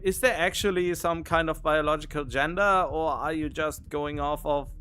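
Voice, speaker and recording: A man's voice: a little high, steady tone, normal speed, bright, forceful tone. Rambling gaming streamer, man. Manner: rambling, thinking aloud, wondering questions. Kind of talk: casual gaming commentary.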